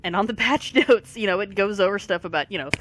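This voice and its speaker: A tired gaming video creator, short on sleep and fighting allergies, giving a casual rambling commentary full of pauses. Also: medium pitch, fairly bright tone. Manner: rambling, many pauses and fillers. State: voice affected by allergies.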